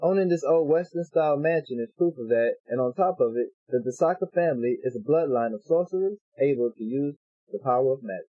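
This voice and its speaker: Husky man reading a visual novel aloud. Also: mid-range voice, animated, fairly quick, dry recording, phone-quality sound. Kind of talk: reading game text aloud with casual asides.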